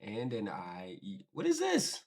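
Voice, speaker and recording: A man's voice: lowish voice, huge pitch swings, a little slow, a little mellow, a little hiss or hum. A man with an American accent reacting off the cuff in an informal, slangy, exclamatory way. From United States. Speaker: reaction video host.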